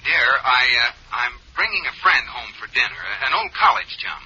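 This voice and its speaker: An actor playing a worried, stammering banker husband in a 1940s radio comedy. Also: male voice, medium pitch, expressive, moderate pace, crowd noise, natural voice. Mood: flustered. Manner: stammering, flustered. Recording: dull, noisy old radio broadcast with audience.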